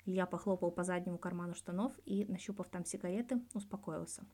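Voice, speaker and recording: A woman's voice: lowish voice, quick pace, warm tone. A woman reading scripted story narration as an audiobook narrator.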